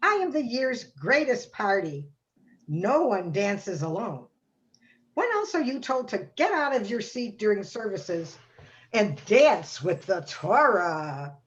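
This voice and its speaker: A woman's voice: medium pitch, big pitch swings, slow. Muffled call audio, lady as a boastful party holiday, wry and swingy, amateur theater. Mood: festive boasting. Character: festive, boastful dancing Torah holiday, life of the party. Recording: dull lo-fi video-call audio.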